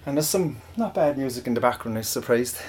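A man's voice: medium pitch, expressive, a little fast, a little mellow. Man, out of breath from a workout, rambling casual chat with frequent laughs. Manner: rambling, chatty, laughs often between sets. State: out of breath and sweaty. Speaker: online audio creator. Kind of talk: casual chat while working out.

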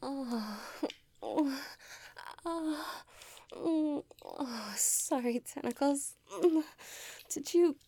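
A woman's voice: high-pitched, drawn-out words, mellow, slight background noise. A young woman speaking in an intimate audio roleplay, whispering breathily and sighing between words.

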